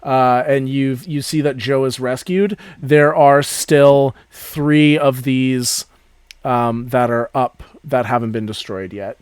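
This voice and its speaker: A man's voice: medium pitch, steady tone, takes it slowly, smooth, no room sound, studio quality. A man acting as game master, narrating a tabletop game in a casual, descriptive way.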